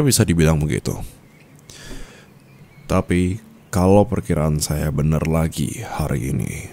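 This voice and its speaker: Casting: male actor as a cool, teasing mafia boss husband for ASMR roleplay, very low dark voice, measured formal delivery. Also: exaggerated voice. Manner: measured, formal, coaxing with short pauses. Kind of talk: ASMR romantic roleplay acting.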